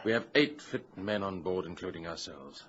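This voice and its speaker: An actor playing a deferential but decisive first mate in an old radio drama, clipped and dutiful. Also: male voice, low voice, lively intonation, moderate pace, a bit bright. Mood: grim. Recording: muffled, slightly noisy vintage radio recording.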